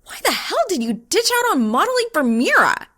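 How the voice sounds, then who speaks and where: A woman's voice: extremely high pitch, sing-song, fairly quick, sharp tone, voice pushed up, full character voice. A woman voicing a hot-tempered, easily flustered young female college student in a story reading. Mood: angry hiss. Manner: hissing, sputtering, shrieking when frustrated.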